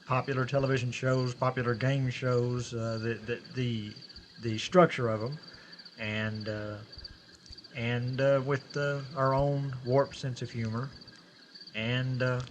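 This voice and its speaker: A man's voice: mid-range voice, flat delivery, a little slow, slight background noise. A man casually introducing his own show in a hesitant, rambling way.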